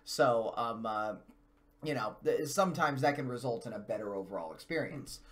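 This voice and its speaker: A man's voice: mid-range voice, lively intonation, moderate pace. A man co-hosting a casual review discussion, wordy and careful, with stop-start phrasing as he qualifies his points. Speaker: co-host of a video game and movie show.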